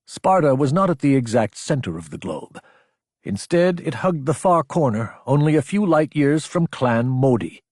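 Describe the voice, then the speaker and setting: A man narrating sci-fi fiction as an audiobook, with a measured, serious, dramatic storytelling delivery. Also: mid-range voice, very animated, darkish tone, no echo, studio quality.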